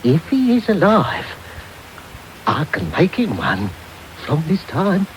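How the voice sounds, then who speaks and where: A man's voice: sits high, wildly swooping pitch, takes it slowly, exaggerated voice. A man playing a wily, wheedling old criminal boss in a radio drama, oily and muttering. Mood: scheming. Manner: oily, muttering, swooping, repeats himself. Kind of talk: 1990s radio drama acting. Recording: noisy 1990s medium-wave radio tape.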